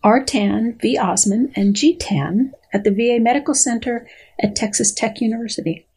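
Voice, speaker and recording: Husky, higher-pitched woman, precise. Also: moderate pace, mellow. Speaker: guest biologist. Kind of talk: reading a research paper aloud and commenting.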